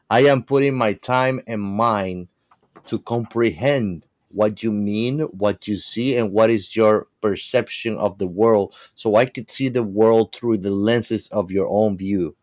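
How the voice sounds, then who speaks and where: Low-voiced man musing earnestly. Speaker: podcast panelist. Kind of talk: casual discussion, philosophical musing.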